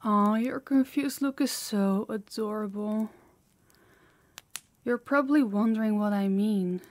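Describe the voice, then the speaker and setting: A woman acts out a dominant, sadistic demoness in an intimate audio roleplay. She takes her words very slowly at a middling pitch, possessive and menacing, taunting her listener with haughty command. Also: dry recording, lightly acted. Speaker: voice actor.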